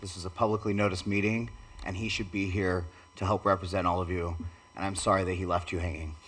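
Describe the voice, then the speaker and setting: Deep-voiced man city councilor, formal. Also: flat delivery, fast talker, fairly bright tone. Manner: formal, apologetic. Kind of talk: council meeting remarks. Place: council chamber.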